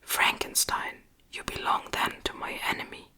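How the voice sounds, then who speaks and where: A narrator plays a desperate, forceful male monster from a gothic storybook. He speaks only in a sharp, very bright whisper. His words are formal, commanding and bitter. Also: normal speed. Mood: menacing. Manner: whispered, commanding, formal old-fashioned speech. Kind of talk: whispered gothic audiobook character.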